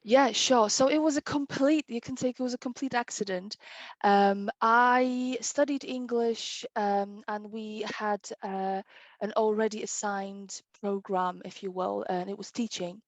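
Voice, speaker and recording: A woman in her thirties from Poland, whose first language is Polish, recounts her path into interpreting with bright enthusiasm and quick laughs. Her voice sits at an ordinary middle pitch, and the recording is dry and close. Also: no echo. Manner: enthusiastic, animated, laughs often; self-described competitive. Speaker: interpreter, guest. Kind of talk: casual interview storytelling.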